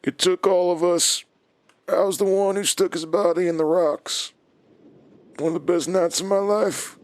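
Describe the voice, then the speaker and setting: An actor playing a menacing, smug villain in a horror audio drama, taunting and calculating in a controlled way. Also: male voice, sits high, fairly even intonation, a little slow, no echo. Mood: gloating. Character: menacing, smug male villain, an old enemy.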